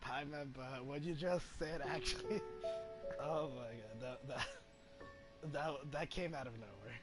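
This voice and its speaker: A man giving casual, halting reaction commentary as he streams a video game, often repeating his words. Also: medium pitch. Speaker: gaming streamer. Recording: faint game music behind.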